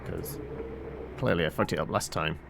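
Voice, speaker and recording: A man's voice: sits low, normal speed, creaky. A man giving casual live game commentary, thinking aloud in a hesitant, muttering way over audible noise. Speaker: game streamer.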